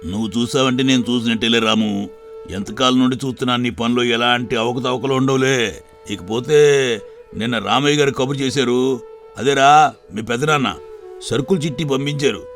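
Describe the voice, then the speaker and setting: A man acts out a trusting grocery owner from a village tale. His talk is familiar and rustic, in a middling pitch. Quiet music plays beneath him. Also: fairly even intonation, moderate pace, pauses often, close to an everyday voice. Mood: trusting, curious. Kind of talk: dramatized moral story for video.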